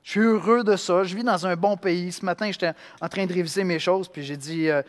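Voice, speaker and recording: A man from Quebec with a Québécois accent, preaching a sermon in an animated way, acting out voices and swinging between loud and hushed. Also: high-pitched, a little fast, big-room sound. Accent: Québécois French. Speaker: pastor.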